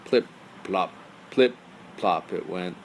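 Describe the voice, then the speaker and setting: A man tells a bedtime story for young listeners. His voice is low with a gravelly creak, and the delivery is simple storytelling. Faint noise sits behind him. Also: takes it slowly.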